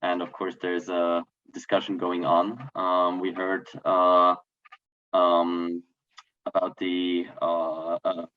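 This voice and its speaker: Deep-voiced man on dull lo-fi audio. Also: completely flat delivery, slow. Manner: hesitant, many fillers. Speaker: workshop participant. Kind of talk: reporting back in an online seminar.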